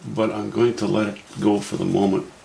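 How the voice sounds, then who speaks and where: A man's voice: on the low side, little pitch movement, fairly quick, vocal fry, warm tone, echoey room, slight background noise. A man with a Canadian accent, thinking aloud in a wry, musing way as he walks through a painting demo. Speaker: painting instructor. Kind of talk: casual painting demo narration.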